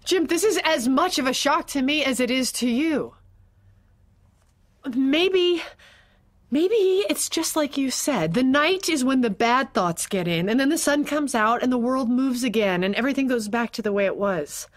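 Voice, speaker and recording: An actress playing a wife who is confused and anxious about her feelings, halting at times and bluntly teasing at others, in an audio drama. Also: sits high, expressive. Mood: uncertain. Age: 40s.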